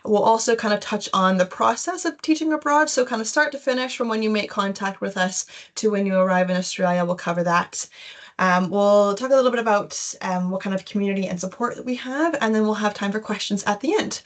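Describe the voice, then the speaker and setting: A woman's voice: mid-range voice, fairly quick. A young adult woman with a Canadian accent, presenting an informal webinar in a chatty, loosely phrased way. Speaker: webinar host, education recruitment consultant.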